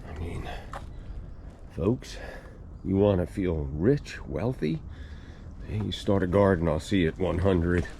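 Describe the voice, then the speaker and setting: A man's voice: low voice, measured pace, vocal fry, long pauses, mellow, a little hiss or hum. A man chatting in a folksy, casual way to viewers as he demonstrates gardening equipment hands-on. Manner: folksy, chatty, talking while working. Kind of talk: casual hands-on product review talk to viewers.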